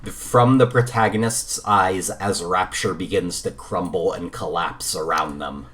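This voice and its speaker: A man co-hosting a podcast in casual conversation, feeling his way toward each point in a halting manner. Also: sits low, steady tone, fairly bright tone. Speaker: podcast co-host. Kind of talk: casual chat about games and films.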